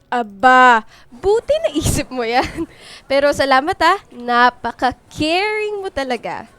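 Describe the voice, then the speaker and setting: A woman playing an affectionate, teasing young college girlfriend in a Filipino radio drama, with an expressive, sweet-talking delivery. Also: high-pitched, slow, no echo, full character voice. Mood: delighted, grateful. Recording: music bed under the voice. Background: background music.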